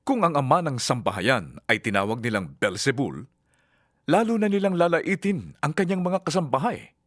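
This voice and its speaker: A man's voice: medium pitch, a bit bright. A man playing an earnest male religious teacher solemnly instructing his disciples in a dramatized scripture reading. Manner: solemn, measured, exhortatory.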